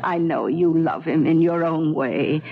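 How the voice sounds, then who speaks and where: A woman's voice: low voice, measured pace, creaky, breathy edge, heavily acted. An actress playing a devoted, motherly servant in a 1970s radio drama, anxious, coaxing and deferential. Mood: anxious pleading. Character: fussing, devoted older female servant, timid and motherly. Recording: dull 1970s radio recording.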